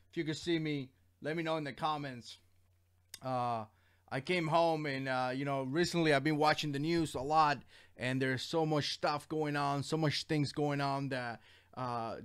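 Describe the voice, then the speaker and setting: A man born in Cuba, hosting his own livestream and giving his opinions in an earnest, rambling way, with many fillers and restarts. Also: a little high. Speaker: channel host. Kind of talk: casual livestream commentary.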